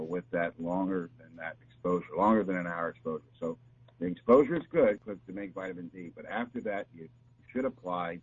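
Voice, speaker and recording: A man talking as a doctor giving health advice, explaining in a halting, repetitive, conversational way. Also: sits low, slightly rough. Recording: dull, noisy line with hum.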